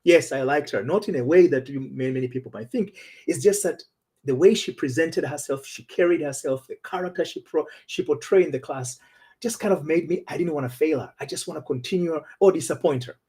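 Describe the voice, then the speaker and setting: Male relationship coach, fairly high and husky, earnest storyteller, for a talk show monologue. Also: a little fast, mellow. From Tanzania. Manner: earnest and personal, with frequent restarts.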